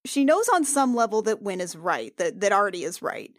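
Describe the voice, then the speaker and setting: A woman's voice: mid-range voice, very animated, normal speed, dry recording, clean studio sound. A woman playing in a tabletop podcast, narrating her character's thoughts and actions. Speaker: player on actual-play podcast.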